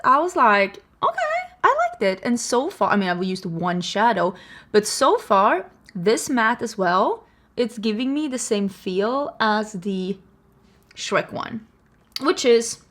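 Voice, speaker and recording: Swedish-accented woman, beauty vlogger, high and animated, casual self-mocking chat. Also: takes it slowly. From Sweden. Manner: chatty, self-deprecating, laughs often. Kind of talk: casual makeup tutorial chat.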